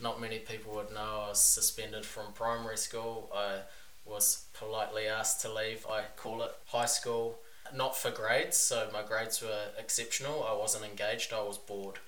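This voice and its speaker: A man's voice: on the low side, little pitch movement, moderate pace, hardly any pauses, fairly bright tone. A man from New Zealand with a New Zealand accent, a podcast guest and business owner talking in a frank, laid-back way. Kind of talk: casual interview chat. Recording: roomy echo, distant mic, faint hiss.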